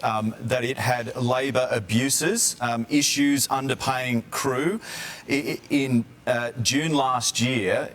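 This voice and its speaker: A man's voice: medium pitch, a bit bright, slight background noise. A man with an Australian accent presenting broadcast news and interviewing live as a TV anchor.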